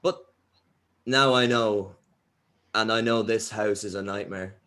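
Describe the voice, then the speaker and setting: A man's voice: lowish voice, fairly even intonation, measured pace, a bit bright. A man reading the part of a wry, shaken young band member confessing to camera in a horror comedy table read. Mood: weary, shaken.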